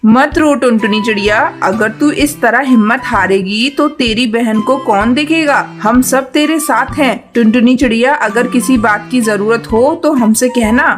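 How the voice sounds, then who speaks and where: Woman as a high-voiced, kindly auntie bird. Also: unhurried, hardly any pauses, natural voice. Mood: consoling. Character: kindly neighbour aunt bird, adult female, reassuring. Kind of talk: Hindi cartoon moral story acting. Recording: background music under the voice.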